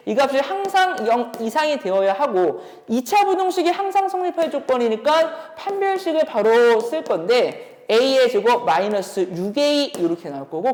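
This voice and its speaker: A woman's voice: fairly high pitch, darkish tone. A woman teaching as a math instructor, explaining exam solutions step by step and often posing questions as she goes. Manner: instructive, poses questions while working through steps. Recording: echoey room with long reverb.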